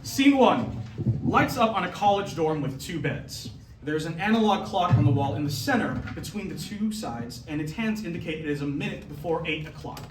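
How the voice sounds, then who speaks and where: A man with a high voice narrates stage directions from a script. He sounds distant from the microphone, in a clearly echoing room. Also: lively intonation, normal speed, background noise. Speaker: announcer and stage directions reader. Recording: echoey room from far off, music.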